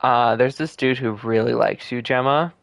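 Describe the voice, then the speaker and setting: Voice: medium pitch, normal speed, creaky, no echo. Laid-back streamer with a dry, close sound. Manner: laid-back, slangy, joking. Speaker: game streamer. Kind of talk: casual reaction commentary.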